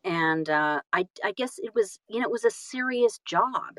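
A woman's voice: mid-range voice, expressive, moderate pace, fairly bright tone, dry recording, studio quality. A woman telling stories casually as an interview guest, self-deprecating and emphatic in manner. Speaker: guest, audiobook narrator and actress.

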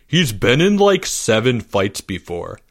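A man's voice: medium pitch, very animated, unhurried. A man voicing a condescending, combative schoolboy who brags and snaps in a storybook reading. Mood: bragging. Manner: condescending, snappy. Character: cocky, condescending schoolboy Albert, bragging and combative.

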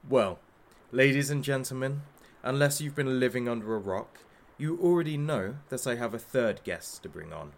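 A man's voice: medium pitch, animated, normal speed, lightly acted. An actor playing a masked hostage-taker who runs a talk show, gleefully cruel, sarcastic and showman-like, in an audio drama. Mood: mocking showmanship.

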